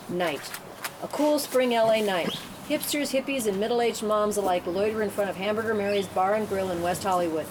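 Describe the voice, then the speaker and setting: A woman narrator reading screenplay stage directions as scripted narration at a table read. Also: mid-range voice. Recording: clear background noise.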